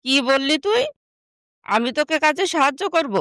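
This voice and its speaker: A woman voicing a bossy, scheming young sister in an animated moral story, with a scornful, scolding manner. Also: high-pitched, normal speed, dry recording, clean studio sound. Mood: scolding, threatening.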